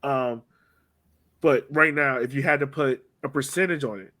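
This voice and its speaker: A man's voice: mid-range voice, normal speed, bright, forceful tone. A man hosting a casual sports discussion, thinking aloud in an informal way and often backtracking to rephrase. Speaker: podcast host steering the discussion.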